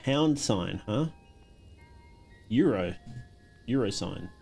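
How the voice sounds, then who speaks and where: A man's voice: lowish voice, expressive, very slow, vocal fry, a little mellow, breathy edge. A man giving casual live commentary as a streamer, with wry, joking asides. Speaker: streamer reacting to a horror game video. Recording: music playing behind the voice. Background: music.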